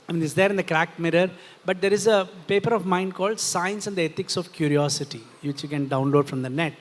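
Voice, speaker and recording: Indian guy explaining stuff on a festival panel, highish voice, big echoey hall. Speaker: panel speaker, philosopher and author. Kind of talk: explanatory answer at a literary festival discussion. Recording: reverberant hall sound.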